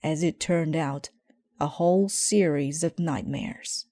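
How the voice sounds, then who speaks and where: A woman reading a children's story aloud in a measured, expressive way. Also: sits low, no echo. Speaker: storybook reader.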